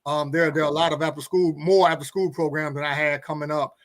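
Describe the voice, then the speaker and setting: A man's voice: fairly high pitch, fairly even intonation, fast talker. A middle-aged man speaking earnestly as a guest on a talk show, often repeating words as he forms his thoughts. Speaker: guest pastor and co-host.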